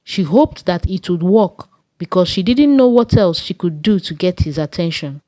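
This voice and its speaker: A woman with a Nigerian accent narrating a scripted folktale in a measured storytelling way. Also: lowish voice, animated, warm tone, no room sound, poor audio.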